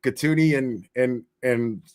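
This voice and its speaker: Slow-talking man in loose, profane commentary. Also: mid-range voice, darkish tone, clean tone. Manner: laughs a lot, swears freely, mimics people. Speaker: show host reacting to a court hearing.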